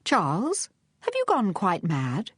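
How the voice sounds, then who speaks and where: A woman's voice: mid-range voice, wildly swooping pitch, slow pace, slightly rough, no echo, pitched above the performer's own voice, full character voice. Storybook heroine, as a woman narrator plays her: poised, wry woman with a needling drawl, dry sound. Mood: sardonic drawl. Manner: irritating drawl, composed. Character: cool, poised, sardonic lady adventurer.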